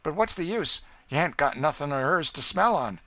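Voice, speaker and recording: Man voicing sly nervous lawyer, smooth, conciliatory, lilting, dry close sound. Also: fairly high pitch, expressive, normal speed, bright, forceful tone, no room sound, pitched above the performer's own voice. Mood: wheedling. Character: sly, wheedling lawyer and slave catcher, nervous. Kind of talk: audiobook character voice.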